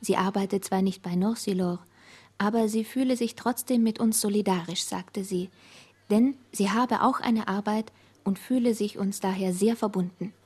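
A woman's voice: mid-range voice, steady tone, normal speed, a little mellow, breathy edge, close to an everyday voice. An actress as a self-assured woman in a literary radio play. Her voice sits in the middle range with a strong husk. She relays speech as narration and stands on her dignity. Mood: matter-of-fact.

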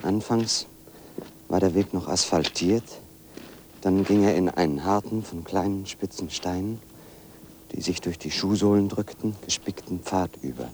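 An actor playing a solitary wanderer, narrating in a measured, observant, literary way for a radio play. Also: male voice, sits low, darkish tone, slight background noise. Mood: sober, reflective. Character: solitary male wanderer narrating his walk in detail.

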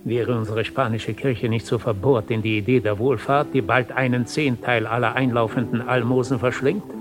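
Actor as measured, wry priest over music. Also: male voice, medium pitch, fairly even intonation, natural voice. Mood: bitter, sardonic. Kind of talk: 1970 German radio play acting.

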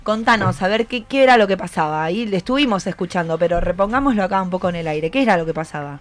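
A woman's voice: fairly low pitch, lively intonation, quick pace. A woman with a Rioplatense accent hosting a radio show, asking students guiding questions and affirming their answers. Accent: Rioplatense Argentine. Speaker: radio host. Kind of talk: interviewing students, explanatory radio talk.

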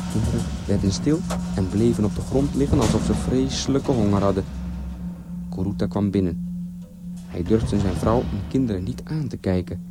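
A man narrating a scripted folktale as a storyteller, with expressive, theatrical delivery. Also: fairly low pitch.

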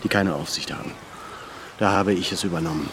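Low-voiced, weary male leader. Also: brisk, fairly bright tone, slight background noise. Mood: decisive. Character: weary, serious male leader longing for solitude. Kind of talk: German radio drama acting.

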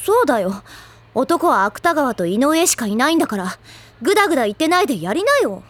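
High-voiced, prickly schoolgirl. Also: big pitch swings, a little fast, dry recording. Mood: scornful. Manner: curt, snappish. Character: prickly, blunt, easily flustered teenage girl. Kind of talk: Japanese audio drama, anime-style acting.

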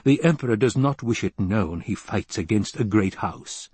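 A man's voice: on the low side, expressive, normal speed, darkish tone, slightly rough, dry recording. A man voicing a weary, bitter military commander in an audiobook, terse, sour and guarded. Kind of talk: audiobook character dialogue.

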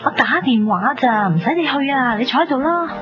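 A woman's voice: high voice, lively intonation, fairly quick. An actress playing a nosy, teasing, bossy young woman in a radio drama, speaking Hong Kong Cantonese in a blunt, exclamatory manner. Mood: pushy. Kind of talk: 1990s radio drama dialogue. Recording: dull lo-fi radio recording. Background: prominent music.

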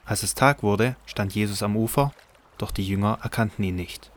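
A man with a low voice narrates scripture for an audio drama. He reads from a script as the storyteller. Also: normal speed.

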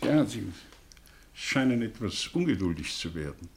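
An actor playing a cynical, bitter professor in a radio play, declaiming in with sardonic rhetorical questions. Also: male voice, on the low side, very animated, moderate pace. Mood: sardonic, needling. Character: bitter, cynical male professor, theatrical intellectual monologist. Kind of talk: German radio play acting.

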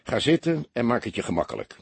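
Man as a husky, calm senior male detective. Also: mid-range voice, no room sound, full character voice. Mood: friendly. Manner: measured, reassuring, firm.

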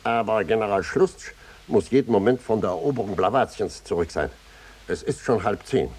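An actor playing a self-important, dithering colonel in a 1950s German radio satire, officious, blustery and prone to stammering. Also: male voice, lowish voice, moderate pace, a little mellow, slightly rough.

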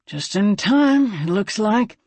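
A narrator voicing a gruff, wry male sentient gun with folksy phrasing in an audiobook. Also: mid-range voice, big pitch swings, slow, darkish tone, no echo, voice pushed up, exaggerated voice.

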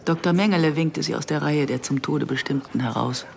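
A woman's voice: low voice, fairly quick, gravelly, darkish tone, slight background noise. An actress playing a guarded, reflective woman in her early fifties who narrates and converses soberly in a German radio drama. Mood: dry, reflective. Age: middle-aged.

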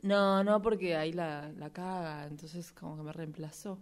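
Radio theatre: woman as a flirty, vain tango heel, lowish voice, Argentine accent, gossipy drawl. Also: fairly even intonation, normal speed, natural voice. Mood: proud, dramatic. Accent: Argentine Rioplatense. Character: vain, chatty, flirty female high-heeled tango shoe. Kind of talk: improvised radio theatre interview in character.

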